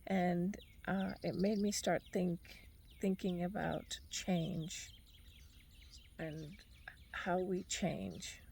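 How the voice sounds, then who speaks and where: Low, gravelly-voiced woman musing outdoors. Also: fairly even intonation, a little mellow, slight background noise. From Argentina. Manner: thoughtful, unhurried, reflective. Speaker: podcast host. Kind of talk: reflective personal monologue. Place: outdoors on a bench.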